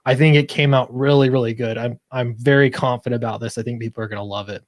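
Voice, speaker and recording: A man's voice: mid-range voice, fairly even intonation, quick pace, no echo, clean studio sound. A man chatting casually as an interview guest, explaining his creative work in an earnest way. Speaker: guest comic book creator. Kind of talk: casual interview chat about own project.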